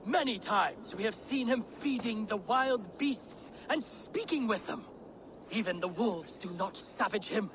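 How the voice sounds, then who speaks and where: An actor playing a fearful, zealous Saxon monk in a video game, speaking gravely and fervently. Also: male voice, sits high, animated, measured pace, background noise. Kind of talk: video game cutscene acting.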